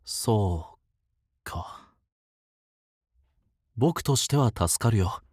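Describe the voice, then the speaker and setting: A man playing an easygoing, protective and resolute fantasy leader in a Japanese voice drama, with casual, gently teasing speech. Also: fairly low pitch, lively intonation, extremely slow, husky, no echo, clean studio sound, heavily acted. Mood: relieved, trusting.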